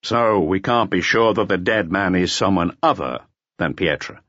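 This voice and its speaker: A male narrator plays an unruffled inspector of forty-five in an audiobook. He is terse and commanding, with a husky voice in a dry, slightly dull recording. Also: low voice, unhurried, fairly bright tone, no echo. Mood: calm, terse. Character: calm, gruff, bulky male police inspector of forty-five.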